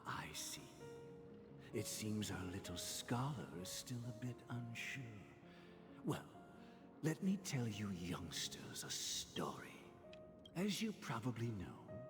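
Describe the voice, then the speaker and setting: A wise older guardian in a game story scene, softly patronising and kindly. His delivery is slow and deliberate with lively swings of pitch. Music plays clearly behind the voice. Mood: musing. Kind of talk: video game cutscene acting. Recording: long reverb, music behind.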